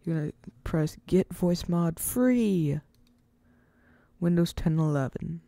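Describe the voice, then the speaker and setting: A casual, rambling speaker hosting an informal step-by-step software tutorial, recorded close to the mic as a voice-over. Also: mid-range voice, animated, slow, gravelly, no room sound. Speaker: tutorial host.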